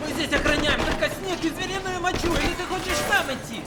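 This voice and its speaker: A man's voice: extremely high pitch, fast, loud music behind the voice. A man playing a harried, grumbling soldier in a video game, a native Russian speaker talking urgently. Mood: urgent, exasperated. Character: harried, grumbling male soldier guard at a snowy base. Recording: very noisy, gunfire and battle ambience. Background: loud battle ambience.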